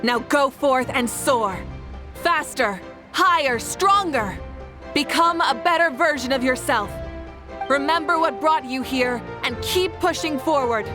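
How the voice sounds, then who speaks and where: A commanding woman host from a video game, with a fairly high, steady voice. She speaks with calm authority and rallies a crowd of contestants. Game music hums behind her. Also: unhurried. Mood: rousing. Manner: composed, rousing.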